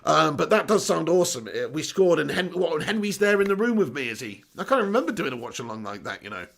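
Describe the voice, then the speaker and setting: Brief: high, gravelly male stream host, excitable, reads comments aloud. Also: fast. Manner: excitable, laughs often, casual swearing. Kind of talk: live stream chat reading viewer comments.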